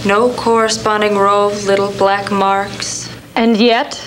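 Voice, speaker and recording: A taunting, sardonic talking typewriter voiced by a woman in a radio play. The delivery is measured and very even, needling and accusing. It sits in a clearly noisy, humming analog recording. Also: medium pitch, close to an everyday voice. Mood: cold, accusing. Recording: noisy SD analog film transfer, constant hum.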